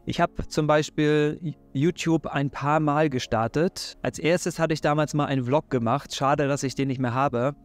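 A man's voice: a little high, steady tone, moderate pace, hardly any pauses, soft background music. A man in his thirties from Hamburg with a Hamburg German accent, giving an earnest, encouraging faith talk straight to camera in a living room. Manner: earnest, encouraging, direct address. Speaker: faith and self-help YouTuber. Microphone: desk microphone in front.

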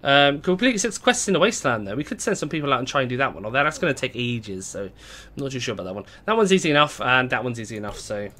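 Chatty young man with a hoarse voice. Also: medium pitch, very animated, fast talker, bright, forceful tone. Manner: chatty, greets viewers, reads chat aloud. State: hoarse, voice going. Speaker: game streamer. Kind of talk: casual live-stream chat. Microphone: desk mic.

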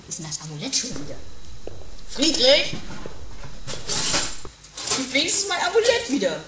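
A woman's voice: high voice, huge pitch swings, moderate pace, roomy sound, distant mic, very noisy. A woman playing a harried, impatient woman who mutters to herself in an improvised audio play. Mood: impatient, calling.

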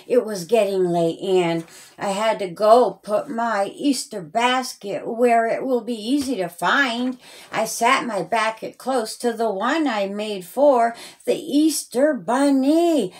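Lady doing kids storytime, rhyming, sing-song, really slow and kinda high voice. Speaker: storyteller, author reading own children's story. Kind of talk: rhyming children's storytime read-aloud.

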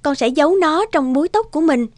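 High-voiced brave young village girl. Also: fast, no echo. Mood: resolute. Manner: calm, resolute. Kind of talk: Vietnamese cartoon dubbing.

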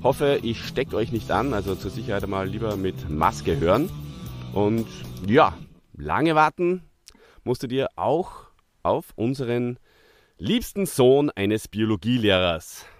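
A man's voice: on the low side, lively intonation, unhurried, faint music behind. A man hosting a casual podcast chat while suffering from a cold. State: has a cold. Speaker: podcast host.

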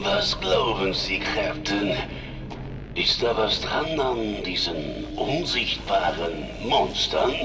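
A man plays an unpretentious, loyal crewman in a sci-fi radio play. His voice is low and deliberate, with lively swings of pitch. Loud music sits behind it. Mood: loyal, curious. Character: loyal, unpretentious men of a spaceship crew. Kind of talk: sci-fi audio drama acting.

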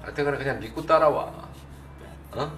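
A middle-aged man giving live stock commentary as a host, blunt and informal, often putting quick questions to his viewers. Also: mid-range voice, fairly bright tone. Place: indoor desk at a computer, livestream setup. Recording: roomy, distant mic, faint music behind.

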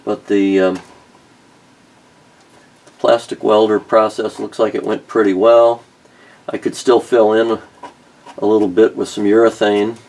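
A man's voice: fairly low pitch, level tone, unhurried, pauses often, a little mellow, low-quality recording. A middle-aged man narrating a repair at his workbench in a casual, thinking-aloud way with many ums and restarts. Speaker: car restoration vlogger. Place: home workshop at a workbench.

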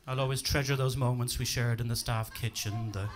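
Deadpan Dublin man doing stand-up. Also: mid-range voice, fairly quick, a crowd in the background. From Ireland. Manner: dry, deadpan delivery with self-deprecating asides. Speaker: stand-up comedian. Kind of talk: stand-up set. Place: comedy club stage. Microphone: handheld mic.

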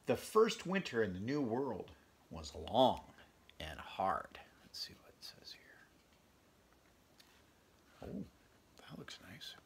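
Man, fifties, husky gravelly voice, lively intonation, children's read-aloud, chatty asides. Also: medium pitch, slow, pauses often. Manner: expressive, conversational, chatty asides to young listeners. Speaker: teacher reading a picture book aloud. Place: small furnished bedroom, indoors.

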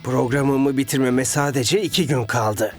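A man's voice: mid-range voice, unhurried, faint music behind, close to an everyday voice. A man playing a cocky, scheming young villain striker in a dubbed cartoon, gloating and theatrical. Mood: confident.

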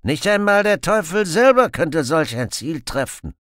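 A man's voice: on the high side, sing-song, measured pace, a bit bright, dry recording, clean studio sound, pitched above the performer's own voice. A man voicing a boastful, murderous outlaw in an audiobook, grim, menacing and full of contempt. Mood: grim, threatening. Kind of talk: audiobook character acting.

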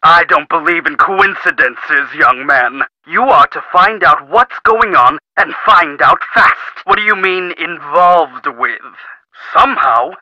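An actor playing a middle-aged company boss in a video game, pompous, formal, demanding and impatient. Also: male voice, fairly high pitch, lively intonation, takes it slowly, sharp tone, no room sound, muffled recording, full character voice. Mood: angry, impatient.

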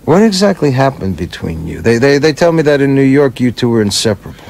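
Man playing a brash, animated, wisecracking screenwriter. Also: medium pitch, fast, creaky. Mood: goading. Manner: brash, profane, needling, sardonic. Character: bitter, boozy, wisecracking male screenwriter with broken leg. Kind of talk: radio play acting.

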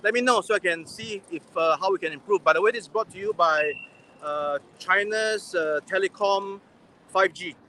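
A man in his forties with a high, bright voice, chatting as he hosts a walking livestream. He talks into a handheld phone outdoors, with faint street noise behind him. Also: moderate pace, rough recording. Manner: chatty, reads viewer comments aloud. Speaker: livestream host. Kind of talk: casual livestream chat. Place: busy city pedestrian street outdoors. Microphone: gimbal-mounted phone at arm's length.

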